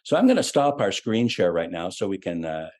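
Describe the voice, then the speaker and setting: A man's voice: deep voice, big pitch swings, a little fast, a little mellow. A man hosting an online ministry event, introducing and interviewing a guest in a friendly, easygoing way. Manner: friendly, easygoing, with frequent "uh" fillers.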